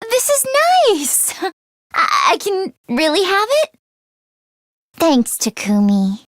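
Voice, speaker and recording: High pitched fretful teen girl for a video game, sweet n easily flustered, dry close sound. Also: slow pace, no room sound, full character voice. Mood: surprised, delighted. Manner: expressive, fretful, earnest. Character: anxious teenage girl mechanic, self-deprecating, sweet, easily flustered. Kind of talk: video game character voice acting.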